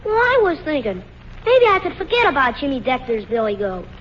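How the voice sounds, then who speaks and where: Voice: sits high, huge pitch swings, a little fast, a little hiss or hum. A performer playing an innocent, stubborn young boy in an old radio comedy. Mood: earnest. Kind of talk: 1940s radio comedy acting. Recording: dull old radio recording.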